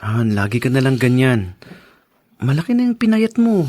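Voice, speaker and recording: A man's voice: mid-range voice, big pitch swings, moderate pace, mellow, dry recording, clean studio sound, natural voice. A man acting in a radio drama as a troubled young husband, earnest and pleading, torn between his wife and his father. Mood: gentle, pleading.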